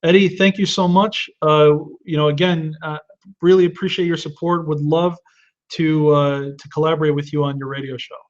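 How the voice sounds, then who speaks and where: A man's voice: fairly high pitch, steady tone, moderate pace, lo-fi sound. A man in his thirties, hosting a live webinar and answering viewer questions with earnest advice, often restarting his sentences. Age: 30s. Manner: earnest advice-giving with frequent restarts and fillers.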